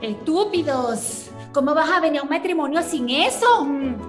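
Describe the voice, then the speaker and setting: Radio soap scold, female actor: bossy Venezuelan woman, high voice, prominent music. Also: lively intonation, moderate pace. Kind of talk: radionovela acting.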